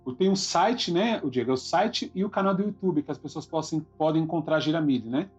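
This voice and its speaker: A man with a husky voice and a Brazilian Portuguese accent, hosting a podcast in a casual, encouraging way. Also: a little high, quick pace. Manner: encouraging, informal. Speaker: podcast host. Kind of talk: casual conversational interview. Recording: dull lo-fi sound, faint music.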